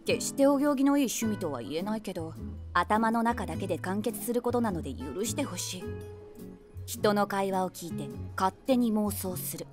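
A woman's voice: mid-range voice, lively intonation, moderate pace, over music, lightly acted. An actress playing a wry woman of forty-six, a self-deprecating eavesdropper narrating an audio drama conversationally in the first person. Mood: wry, matter-of-fact. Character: wry 46-year-old woman, eavesdropping daydreamer.

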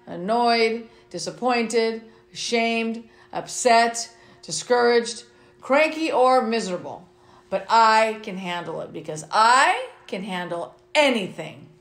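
A middle-aged woman reads a picture book aloud for young schoolchildren. Her voice sits a little high and she reads expressively. The sound is noticeably roomy, with echo around the voice. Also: animated, slow pace, fairly bright tone. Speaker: school vice principal reading to students.

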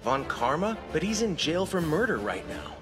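A man's voice: on the high side, big pitch swings, normal speed, over music. An actor in an anime dub playing an earnest, exasperated young male lawyer, straightforward and incredulous. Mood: concerned, incredulous.

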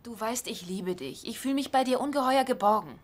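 An actress playing a loving, supportive and wry wife in a dubbed sitcom. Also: female voice, on the high side, brisk, natural voice. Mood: reassuring.

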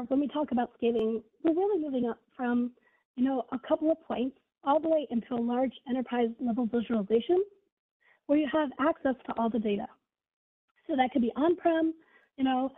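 A woman with a scratchy voice from being ill presents a webinar as a product manager, in an upbeat way with many fillers like "you know" and "right". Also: high voice. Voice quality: husky. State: scratchy voice from illness. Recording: tinny phone-line audio.

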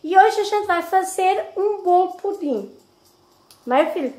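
A woman's voice: very high-pitched, animated, measured pace, a little mellow. A middle-aged woman with a European Portuguese accent chatting casually and affectionately to her viewers as a home vlogger. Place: home kitchen, indoors. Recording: echoey domestic kitchen.

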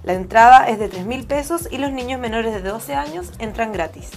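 A woman narrates event listings in a scripted news voice-over. Her voice sits slightly high and her read is smooth and even. Soft music plays underneath. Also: moderate pace.

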